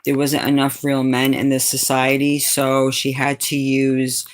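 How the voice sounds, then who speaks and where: A middle-aged woman from Brazil with a raspy, fairly low voice, chatting casually with viewers on a livestream and calling them sweetheart and darling. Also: monotone, a little slow. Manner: laughs often, calls viewers sweetheart and darling. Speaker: livestream host. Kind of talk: casual chat answering viewers.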